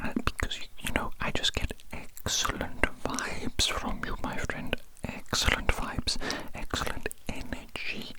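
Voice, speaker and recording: An ASMR performer voicing a gentle, doting alien doctor in a breathy, unvoiced whisper, soothingly repeating phrases to a patient. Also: lightly acted. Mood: soothing, affectionate. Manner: slow soothing repetition of phrases.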